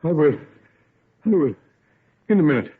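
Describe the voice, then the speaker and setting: An actor playing an ailing, obsessed scientist son in an old-time radio drama, secretive yet grandiose, speaking in halting, broken phrases. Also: male voice, on the high side, big pitch swings, husky, heavily acted. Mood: weak, shaken, evasive. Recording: tinny, band-limited old radio recording.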